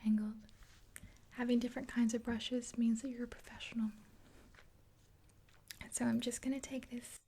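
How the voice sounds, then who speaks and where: Young woman, breathy ASMR whisper, mellow, even pitch, gentle rambling chat. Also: moderate pace, pauses often. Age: 20s. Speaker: ASMR roleplayer as makeup artist.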